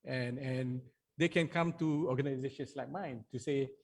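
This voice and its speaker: A man's voice: mid-range voice, fairly even intonation, moderate pace. A man on a forum panel adding a point, hesitant with many fillers. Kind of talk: panel discussion.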